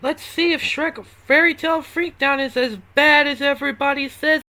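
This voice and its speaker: A woman's voice: sits high, steady tone, normal speed, pushed and bright. A woman narrating a video game review, sweary and exasperated, given to ranting outbursts. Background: music.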